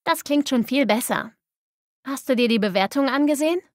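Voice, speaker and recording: A woman playing a sensible, caring young student in a scripted language-learning dialogue, with clear, tidy diction. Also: high voice, brisk, dry recording, clean studio sound, close to an everyday voice. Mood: approving, thoughtful. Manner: clear, tidy, textbook delivery.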